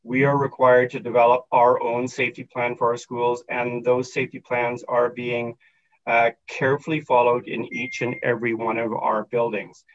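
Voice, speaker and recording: A man in his fifties, a school district official, giving a measured, formal answer on a video call. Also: mid-range voice, little pitch movement. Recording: dull lo-fi call audio.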